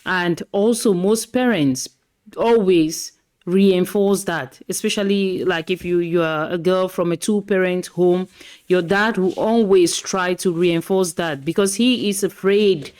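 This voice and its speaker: A woman's voice: fairly low pitch, a little slow, darkish tone. Chatty woman podcast host. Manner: chatty, repeats herself, frequent "like". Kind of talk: casual commentary reacting to clips.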